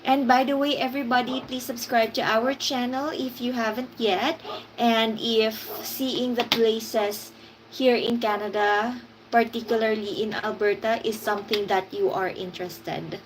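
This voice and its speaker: Woman stream host, higher register, slow easy delivery, greets commenters by name. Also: steady tone, noisy background. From Philippines. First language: Hiligaynon. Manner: unhurried, reads out viewer comments and greets them. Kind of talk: casual live stream chat.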